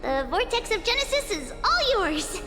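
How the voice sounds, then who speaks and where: A woman's voice: extremely high pitch, big pitch swings, moderate pace. A woman voicing a tiny, cheerful, childlike girl in a video game, fond and teasing. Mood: cheerful, affectionate. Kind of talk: video game character acting. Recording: long reverb, music behind.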